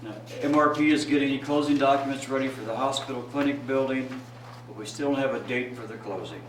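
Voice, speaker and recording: A man reading a city staff report at a council meeting in a steady, businesslike way. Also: mid-range voice, brisk, slight background noise. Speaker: city administrator giving a report. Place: council chamber. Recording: echoey room, distant mic.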